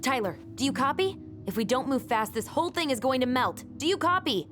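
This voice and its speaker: An actress voicing a wistful, playful young adult twin sister in a naturalistic video game style, expressive and wry. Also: female voice, high-pitched, fairly quick, soft background music. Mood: playful, urgent.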